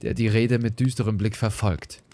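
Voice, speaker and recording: A man narrating a scripted horror story in a grave, measured, theatrical manner. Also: on the low side, steady tone, mellow.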